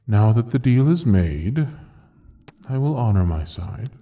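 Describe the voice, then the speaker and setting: An actor playing a suave, menacing devil in an audio roleplay, speaking in a dark velvety voice with courtly, teasing deliberation. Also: male voice, on the low side, big pitch swings, unhurried, creaky, exaggerated voice. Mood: smooth, magnanimous. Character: suave, menacing male devil ruling a frozen hell. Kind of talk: ASMR audio roleplay acting.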